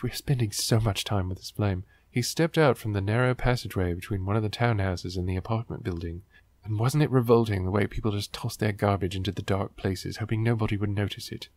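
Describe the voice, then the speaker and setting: Man, dry close recording, vocal fry, sarcastic host reading and critiquing, exasperated. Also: fairly low pitch, darkish tone, no room sound. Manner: snarky, exasperated, wry. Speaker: host of a book riffing show.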